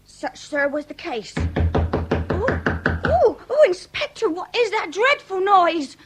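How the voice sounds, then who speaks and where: Comic period radio drama: melodramatic working-class Victorian widow, talkative, high-pitched, very expressive range, mock-grand folksy phrasing. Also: female voice, slow pace, heavy background noise, full character voice. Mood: theatrical alarm. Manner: theatrical lamenting, folksy mangled grand words.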